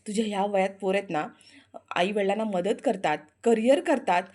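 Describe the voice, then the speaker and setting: A woman voicing a proud, sharp-tongued young woman who scolds and taunts. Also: medium pitch, fairly quick, lightly acted. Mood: scolding.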